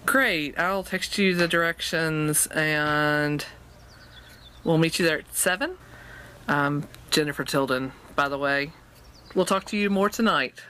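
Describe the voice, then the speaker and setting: A man's voice: a little high, measured pace, slight background noise. A man playing a friendly, awkward matchmaking sidekick in an improvised audio comedy, hesitant and colloquial. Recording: voice amid very loud music, outdoor crowd.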